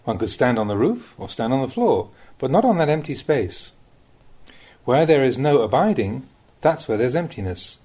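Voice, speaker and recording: A man reading a Buddhist Dhamma talk aloud as a monk, in the measured, plain-spoken way of a teacher, with rhetorical emphasis. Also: medium pitch, sing-song, warm tone, breathy edge.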